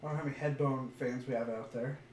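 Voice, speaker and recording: A man's voice: medium pitch, fairly even intonation, quick pace, a little mellow, recorded from a distance. A man co-hosting a casual podcast, joking and goofily riffing on words. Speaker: podcast co-host. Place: indoor room, recorded live on stream. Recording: echoey room, off-mic, background noise.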